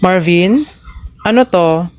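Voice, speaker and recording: A woman's voice: lowish voice, slow pace, a little mellow, slight background noise. A woman with a Filipino accent playing a stressed, exasperated station boss in a comedic radio drama. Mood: stern, fed up.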